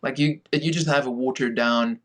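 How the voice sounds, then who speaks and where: A man's voice: mid-range voice, fairly even intonation, normal speed, a bit bright. South African man in conversation. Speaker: podcast guest, filmmaker and director. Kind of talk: conversational interview answers.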